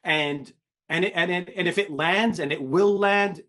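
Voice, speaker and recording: Middle-aged man from Syria, animation director type; candid and emphatic, full of repeats, voice sits a bit high. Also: a little slow, bright, forceful tone. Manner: emphatic, repetitive restarts, swears. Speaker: animation director, podcast guest. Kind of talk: candid interview talk.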